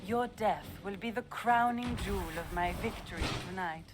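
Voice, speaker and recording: An actor playing a smug treacherous noble villain. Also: male voice, sits high, moderate pace, over music, close to an everyday voice. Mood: gloating. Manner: smug, theatrical, mocking. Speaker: video game character.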